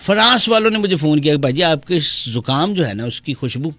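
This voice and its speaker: A man's voice: on the high side, sing-song. A male radio call-in host with a Pakistani Punjabi accent, bantering playfully and mock-seriously, teasing listeners and mixing languages. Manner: switches between languages mid-talk. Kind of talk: playful live radio banter with comic monologue. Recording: loud music behind the voice. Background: music.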